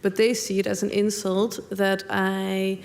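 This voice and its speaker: Young woman, creaky voice, hesitant and thoughtful, lots of fillers. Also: mid-range voice, flat delivery, measured pace, a little mellow. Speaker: panel guest. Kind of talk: public conversation on stage.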